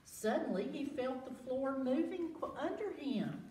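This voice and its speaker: A woman leads a children's storytime with very lively, swooping pitch. She takes her time, repeating words and putting questions to her young listeners. She is recorded at a distance in an echoey room with some noise. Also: a little slow. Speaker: library storytime host. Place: echoing room with children present. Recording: roomy, distant mic with background noise.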